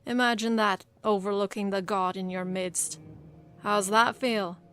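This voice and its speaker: A woman voicing a smirking, snappish male dwarf assassin in early middle age, speaking loud and taunting. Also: medium pitch, fairly even intonation, measured pace, clean tone, no echo, faint music behind, close to an everyday voice. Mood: irritable, mocking.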